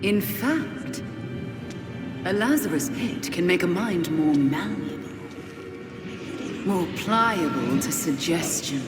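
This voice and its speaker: An actress playing a cold, seductive villain leader in a video game, speaking with slow, imperious menace. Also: female voice, lowish voice, animated. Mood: sly, menacing. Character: commanding female villain, cold, seductive leader of an assassin league. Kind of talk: video game cutscene voice acting. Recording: echoey hall reverb with loud music.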